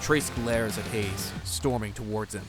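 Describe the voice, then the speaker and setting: A man's voice: fairly low pitch, unhurried, music in the background. A man narrating an audio drama in scripted, present-tense prose.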